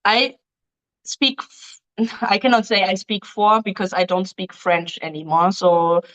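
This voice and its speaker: A woman hosts a casual live stream, reading out viewer questions and answering at length. Her voice sits at a middle pitch, and her English runs loose, with frequent ums and restarts. The audio is dull and lo-fi. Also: fairly even intonation, unhurried, fairly bright tone. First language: German. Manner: reads questions aloud, answers in loose non-native phrasing with many ums. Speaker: dating agency host answering viewer questions.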